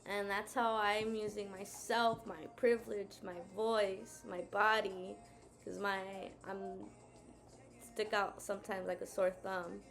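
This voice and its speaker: A woman's voice: mid-range voice, level tone, takes it slowly, a bit bright, soft background music. A woman co-hosting a casual talk, sharing everyday examples in a chatty way. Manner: chatty, storytelling. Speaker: co-host.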